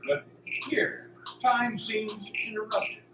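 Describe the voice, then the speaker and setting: A man narrating a radio play as a scripted story, in a theatrical, deliberate, suspenseful way. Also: sits high, steady tone, noisy background. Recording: roomy, distant, music behind. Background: music.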